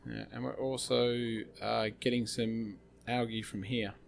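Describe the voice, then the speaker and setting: A slightly hoarse man hosting a gaming channel, thinking aloud with many fillers as he plays. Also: mid-range voice, level tone, a little slow, a little hiss or hum. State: slightly hoarse, losing his voice. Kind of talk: casual gameplay commentary.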